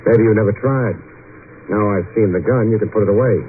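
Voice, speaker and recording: An actor playing a cool, wry, hard-boiled private detective in an old-time radio drama, with a dry, laconic, wisecracking delivery. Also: male voice, fairly low pitch, brisk, lightly acted. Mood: calm, wry. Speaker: radio drama lead and narrator. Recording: tinny, narrow-band old radio recording, faint noise.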